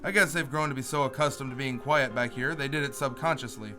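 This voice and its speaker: A commanding masked crimefighter from a radio serial, played by a man. His middle-pitched voice is firm and deliberate, and music plays behind him. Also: bright, forceful tone, close to an everyday voice. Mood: firm, urgent. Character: masked vigilante hero, commanding, posing as solemn spirit. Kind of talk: radio play acting.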